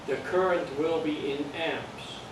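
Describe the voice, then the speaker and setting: A man's voice: on the high side, steady tone, slow. Older Dutch-accented man lecturing in an echoey room. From Netherlands. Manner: plain, patient explaining with jokes and check-ins. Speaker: amateur radio course instructor. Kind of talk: classroom lecture. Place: classroom. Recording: echoey room from far off, noisy.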